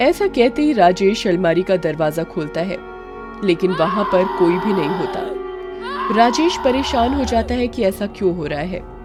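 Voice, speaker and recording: A woman's voice: medium pitch, expressive, normal speed. A woman narrating a scripted horror tale in storybook style. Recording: music behind.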